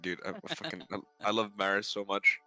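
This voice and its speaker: A man's voice: lowish voice, flat delivery, brisk, pushed and bright, clear, resonant tone, dry recording, faint music behind, low-quality recording. A man on a group game stream, bantering and joking casually. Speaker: streamer in a group read-along.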